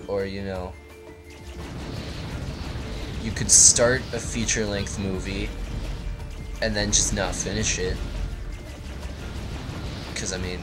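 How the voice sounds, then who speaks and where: A man hosting a video game let's play, giving rambling, joking commentary in a deadpan, tongue-in-cheek, meandering way. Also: lowish voice, slow pace, pauses often, over music.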